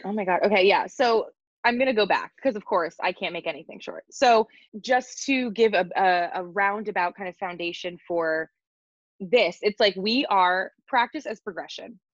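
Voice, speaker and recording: Deep-voiced woman on a video call. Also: normal speed, dry recording, dull audio. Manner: playful, laughing, self-deprecating, tangent-prone. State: worn out after crying. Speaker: podcast co-host. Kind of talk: casual chat over video call. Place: in her bed at home.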